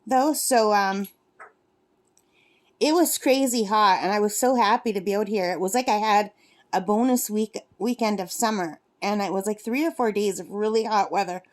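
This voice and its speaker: A woman's voice: medium pitch, normal speed. A woman in her fifties chatting casually in a rambling, self-correcting way, vlogging from her lakeside cabin while she crafts. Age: 50s. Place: cabin at a lake.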